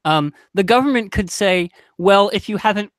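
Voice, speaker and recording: Man, high voice, casual panel talk, halting, thinking aloud, very dry sound. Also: fairly bright tone, clear, resonant tone, no room sound.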